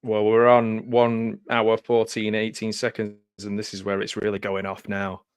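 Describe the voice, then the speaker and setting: A man's voice: fairly low pitch, completely flat delivery, normal speed, clear, resonant tone, no echo. A young man with a Stockport accent from Greater Manchester, chatting casually and joking while hosting a film commentary. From England. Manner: chatty, jokey, laughs often. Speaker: commentary host.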